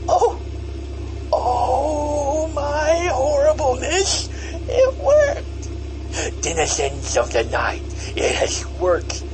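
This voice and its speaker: A man's voice: very high voice, huge pitch swings, extremely slow, exaggerated voice. A man playing a whiny, hapless young devil-worshipper in an audio comedy drama, theatrical and stammering, with vehicle noise behind. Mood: theatrical, dismayed.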